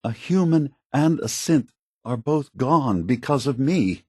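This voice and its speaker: An actor voicing a remorseful, idealistic synth leader in a video game, speaking in a grave, measured and deliberate way. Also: male voice, mid-range voice, expressive, breathy edge, no room sound, studio quality. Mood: pleading, remorseful. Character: solemn, remorseful male synth android leader, idealistic and weary.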